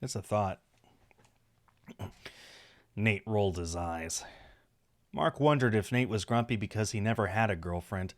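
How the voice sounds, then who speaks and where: Lowish-voiced man narrating a visual novel. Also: a little slow, pauses often. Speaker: streamer reading a visual novel aloud. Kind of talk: story narration with casual asides.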